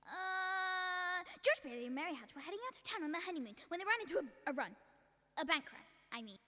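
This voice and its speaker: Girl playing an excitable, high-voiced trainee angel. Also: fairly quick, exaggerated voice. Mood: eager, confused. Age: teenager. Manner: excitable, blurting. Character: eager, impatient, childlike trainee angel. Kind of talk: amateur radio drama acting. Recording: long reverberant hall effect.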